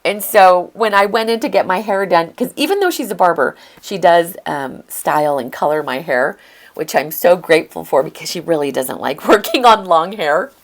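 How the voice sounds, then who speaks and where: An older woman narrating a casual flip-through of her craft journal, chatty and gushing, her voice slightly hoarse from a lingering illness. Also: on the low side. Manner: chatty and gushing, with occasional throat clearing.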